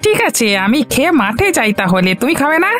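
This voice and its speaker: A man's voice: very high-pitched, big pitch swings, fast, fairly bright tone, faint music behind, heavily acted. A man voicing a poor, meek, forgetful farmer husband in a cartoon, speaking in a sheepish, placating way. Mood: resigned, mild. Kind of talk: Bengali children's cartoon dialogue.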